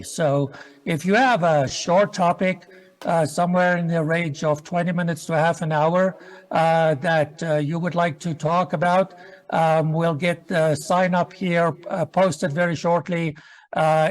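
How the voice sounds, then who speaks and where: A man hosting an event, making unscripted closing announcements with a halting, hesitant delivery. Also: a little high. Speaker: event host closing the session.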